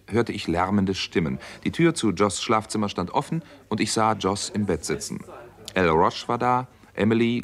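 A low-pitched, earnest doctor acted by a man who tells his own case in a 1960s radio crime play. He speaks in a measured, matter-of-fact way, like a sober investigator. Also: lightly acted. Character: earnest American doctor and amateur sleuth, narrating his case. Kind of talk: 1960s German radio crime drama acting.

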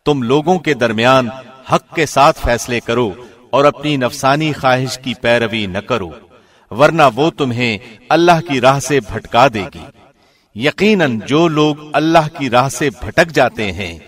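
A man reading a scripture translation from a script in a formal, measured, solemn manner. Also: medium pitch, animated, fairly bright tone. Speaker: radio host reading a translation.

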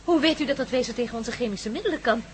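A woman acting in a Dutch radio play as an earnest, inquisitive doctor with an expressive, questioning manner. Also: on the high side, racing, lightly acted. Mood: curious, questioning. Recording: noisy old radio drama recording.

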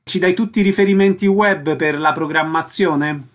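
A man presents and interviews on the radio. His voice sits slightly higher than most men's as he introduces guests and puts questions to them. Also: normal speed.

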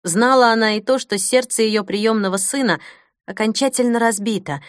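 A woman narrating a fantasy audiobook in a measured, expressive storytelling manner. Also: fairly high pitch, no echo, studio quality. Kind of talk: scripted dramatic narration of fantasy fiction.

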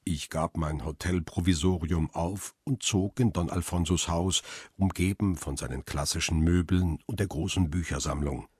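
An actor playing a lonely, melancholy middle-aged photographer who narrates a radio drama in a measured, reflective way. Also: male voice, sits low, a little mellow, husky, no echo, clean studio sound. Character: world-weary male photographer, lonely widower, melancholy. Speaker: first-person narrator and lead.

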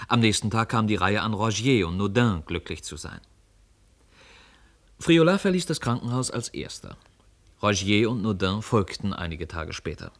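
A man narrating a radio drama as a storyteller, reading literary prose in a measured, articulate way. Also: on the low side, a bit bright.

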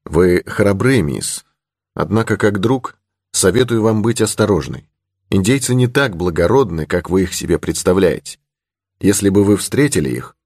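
A man's voice: low-pitched, lively intonation, moderate pace, darkish tone, no room sound, clean studio sound. A man voicing a courteous, cautious young horseman protecting a lady in an adventure audiobook. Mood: courteous, curious. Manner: courteous, earnest. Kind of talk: audiobook character in adventure novel.

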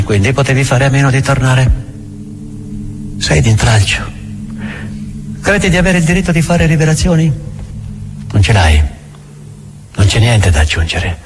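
Casting: male actor for cynical, world-weary inquisitor, radio drama, lowish register, heavy pauses and pointed questions, scored with music. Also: natural voice. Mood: bitter, accusing. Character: cynical, accusing old Grand Inquisitor, weary and menacing. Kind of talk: theatrical monologue, radio drama. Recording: music under the voice.

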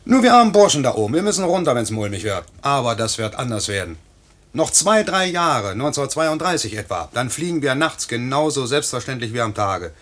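A young night pilot in a 1950s German radio drama. His voice swoops with lively intonation. He is boyish and teasing, by turns swaggering and dreamily tender. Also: medium pitch, a little fast. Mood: boastful, playful.

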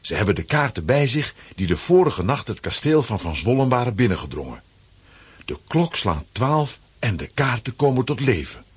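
A man with a husky voice narrating a children's audio play as a dramatic scripted story. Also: medium pitch, very animated, normal speed.

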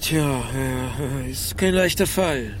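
An actor playing a wry Soviet ex-KGB agent turned private detective in a radio drama, dry, ironic and understated. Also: male voice, medium pitch, takes it slowly, slight background noise, lightly acted. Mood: calm, concerned. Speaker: narrator and lead character. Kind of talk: radio drama acting with first-person narration.

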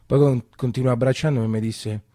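A man's voice: medium pitch, steady tone, quick pace, dark tone, no echo, clean studio sound. A man with a Roman accent acting a theatrical storytelling monologue in a colloquial, confiding way. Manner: colloquial, confiding, repeats phrases. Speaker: stage actor.

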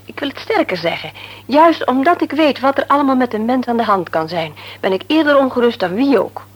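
A worried mother, highish voice. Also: big pitch swings, a little fast, muffled recording. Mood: earnest, explanatory. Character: Els, worried mother and former nurse, thoughtful. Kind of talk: Dutch radio play acting. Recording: humming, noisy radio play recording.

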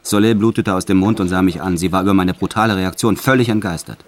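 Radio play lead: male actor as brutal career criminal confessing matter-of-factly, extremely low voice. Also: fast, a little hiss or hum, lightly acted. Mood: menacing, enraged. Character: violent male career criminal confessing his life, brutal yet tender. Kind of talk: first-person radio play narration.

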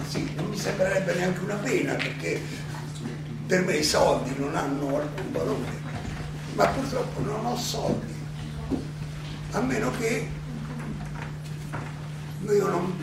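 An actor playing an aging Athenian philosopher who defends himself in a theatrical monologue, ironic and full of rhetorical questions and halting repetitions. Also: male voice, high-pitched, creaky. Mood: resigned. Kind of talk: theatrical stage monologue, oratory. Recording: roomy, distant, noisy live stage recording.

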